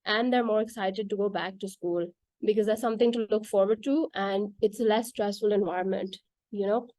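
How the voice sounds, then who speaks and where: A woman's voice: mid-range voice, fairly even intonation, a little fast, warm tone, dry recording. A woman whose first language is Urdu, earnestly explaining why art matters for children, with frequent "like" and "right?" fillers. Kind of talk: casual explanatory talk.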